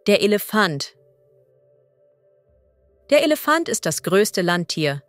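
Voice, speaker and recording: A woman as a language teacher reading idioms and vocabulary slowly and clearly. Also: mid-range voice, sing-song, dry recording, soft background music. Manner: slow, clear and deliberate.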